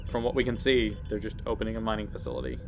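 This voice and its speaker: A man's voice: lowish voice, sing-song, very fast, over music. A man roleplaying a starship officer in a casual tabletop game, reporting matter-of-factly. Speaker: player acting as starship officer. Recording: clearly noisy room background.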